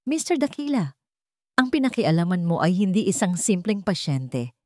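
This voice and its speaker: A woman's voice: on the low side, very animated, moderate pace, darkish tone, dry recording, studio quality. A woman voicing a stern, condescending male hospital official who interrogates in an accusing manner, in a narrated drama. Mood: bitterly mocking.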